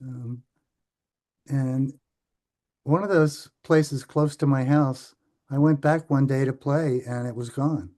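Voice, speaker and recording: Slow, halting older American man. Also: medium pitch, fairly even intonation, mellow. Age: 70s. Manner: halting, hesitant, thoughtful, trailing off. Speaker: podcast guest. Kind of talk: reflective interview recollection.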